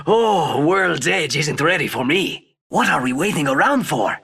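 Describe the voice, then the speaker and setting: Cocky young video game stuntman, excitable, impatient, crackly rasp, lively swoops. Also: a little high, a little slow, distant mic, exaggerated voice. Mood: cocky excitement. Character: cocky, hyperactive young male daredevil. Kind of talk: video game character voice lines.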